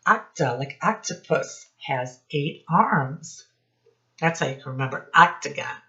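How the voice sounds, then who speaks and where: A woman teacher speaking deliberately to young children in a read-aloud lesson, addressing them directly with questions. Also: sits low, expressive, a bit bright. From United States. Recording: dull lo-fi sound.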